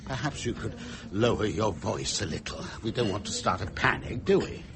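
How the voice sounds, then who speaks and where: A man's voice: on the low side, animated, normal speed. An actor playing a stiff, defensive male ship's captain in a radio drama, clipped and formal, with a crowd behind him. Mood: stiffly defensive.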